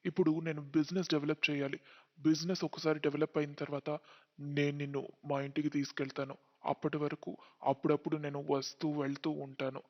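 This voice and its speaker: Man acting a high-pitched scheming young cartoon man. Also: little pitch movement, a little fast, long reverb tail, dull audio, natural voice. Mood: smooth deceit. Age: young adult. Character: greedy, cunning young man who lies to women. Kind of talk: cartoon moral story acting.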